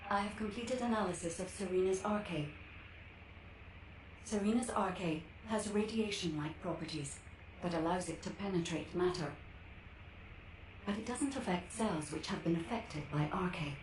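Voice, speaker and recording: Woman voicing a composed game analyst, lowish voice, formal, echoey, background noise. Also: moderate pace, long pauses, close to an everyday voice.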